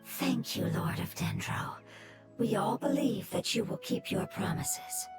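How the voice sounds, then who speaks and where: A woman voicing a gentle, sorrowful creature longing for its homeland in a video game, speaking formally and solemnly with breath that often sinks toward a whisper. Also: on the high side, expressive. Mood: earnest. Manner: formal, solemn, slow and deferential. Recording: music behind the voice. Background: game music.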